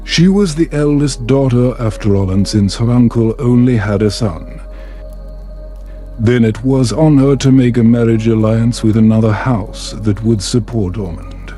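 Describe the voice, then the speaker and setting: A man narrates a bedtime story in a slow, measured way. His voice is mellow and soothing at a middle pitch. Soft music plays behind him. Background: background music.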